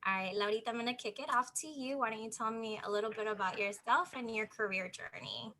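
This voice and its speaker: A woman's voice: fairly high pitch, quick pace, bright, forceful tone, slight background noise. A woman hosting a panel over a video call, upbeat, enthusiastic and chatty. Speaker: host, moderator. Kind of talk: casual panel moderation.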